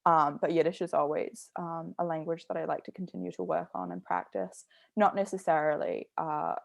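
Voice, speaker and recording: A woman's voice: fairly low pitch, steady tone, moderate pace, gravelly, no room sound, studio quality. A young adult woman, an artist, talking reflectively and explaining her ideas with care in a conversation. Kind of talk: thoughtful conversational talk.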